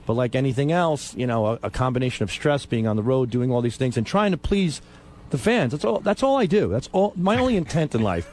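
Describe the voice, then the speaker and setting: A man's voice: medium pitch, lively intonation, brisk, darkish tone. A man with an American accent talking on an unscripted radio show, defensive, stammering and repeating himself. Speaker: radio show staffer and comedian. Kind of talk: unscripted talk radio banter.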